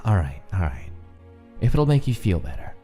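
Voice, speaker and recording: A man's voice: low voice, sing-song, normal speed, dark tone, breathy edge, soft background music, full character voice. A man voicing a laid-back, self-doubting skeleton brother in a comic dub, with a casual, wry delivery. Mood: reluctant.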